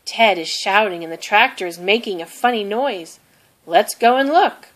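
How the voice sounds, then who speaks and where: A woman's voice: mid-range voice, animated, moderate pace, fairly bright tone, lightly acted. A woman voicing an observant, earnest young farm boy in a children's storybook. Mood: curious.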